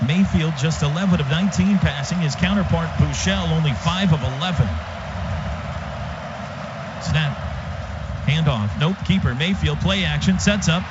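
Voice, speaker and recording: Male football play-by-play caller, high register, punchy and clipped, over loud stadium din. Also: moderate pace, long pauses. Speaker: play-by-play radio announcer. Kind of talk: live football play-by-play commentary. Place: stadium radio booth. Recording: dull radio broadcast, loud stadium noise. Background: loud stadium ambience.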